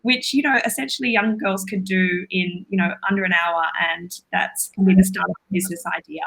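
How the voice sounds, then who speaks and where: A woman hosting a webinar interview, encouraging and quick with asides. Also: medium pitch, fairly even intonation, vocal fry, fairly bright tone, rough recording. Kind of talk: casual interview chat.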